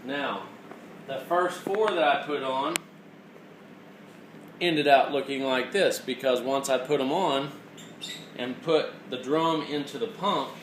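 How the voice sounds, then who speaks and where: A man's voice: medium pitch, slow pace, pauses often, a bit bright. Man giving an echoey hands-on tutorial. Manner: plainspoken, step-by-step explaining. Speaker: DIY mechanic giving a tutorial. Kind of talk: informal hands-on demonstration. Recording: roomy echo, clear background noise.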